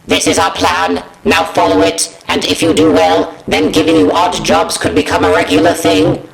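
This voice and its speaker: Heavily croaking robot alien villain, bossy, exasperated, staccato, higher-pitched, echoing, fan parody cartoon. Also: male voice, very animated, normal speed, heavily acted. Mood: commanding. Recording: echoing room sound.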